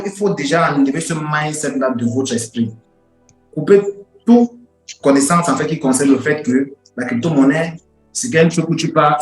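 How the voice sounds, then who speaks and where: A man, an English speaker, giving an informal lecture in an emphatic, repetitive way with rhetorical questions. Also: mid-range voice. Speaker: online course instructor. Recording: roomy echo with music behind.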